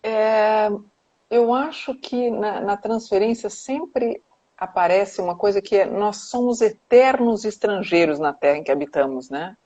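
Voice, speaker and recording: A woman's voice: medium pitch, expressive, unhurried, mellow. A woman psychoanalyst, talking in a casual, hesitant way with many restarts and tag questions on a live video call. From Brazil. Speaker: psychoanalyst guest. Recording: dull lo-fi video call audio.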